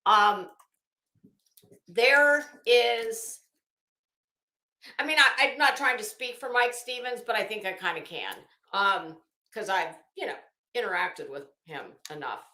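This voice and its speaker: Slow-talking, bright-voiced woman chatting on a livestream. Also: medium pitch. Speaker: livestream host. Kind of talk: casual livestream chat, greeting viewers. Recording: echoey room.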